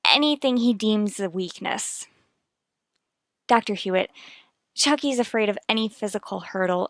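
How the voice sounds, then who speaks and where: A woman's voice: a little high, a bit bright, no echo, studio quality. An actress playing a worried, earnest young mother in a vintage radio soap opera, confiding in a careful, hesitant way. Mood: anxious, pained.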